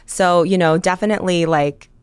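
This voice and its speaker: A woman with a low speaking voice chats casually as a podcast co-host. She is American and animated, filling her talk with "like" and "you know". The sound is close and dry, with no room in it. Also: moderate pace, clean tone, no room sound. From United States. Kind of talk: casual chat.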